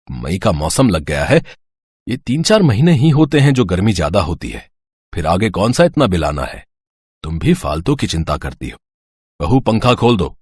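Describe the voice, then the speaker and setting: A man's voice: deep voice, a little mellow, slightly rough, no room sound, full character voice. A man playing a reasonable, mildly exasperated husband and father in an audio story, talking in a placating way.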